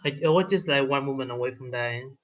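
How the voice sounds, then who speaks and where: A man chatting casually on a gaming stream, in a halting way that often repeats words. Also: medium pitch, fairly bright tone, muffled recording.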